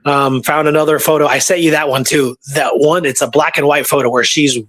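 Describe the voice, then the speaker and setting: A man's voice: fairly high pitch, fairly even intonation, fairly quick, a bit bright. A man telling stories in a casual, informal, colloquial way, as a guest researcher in a conversation.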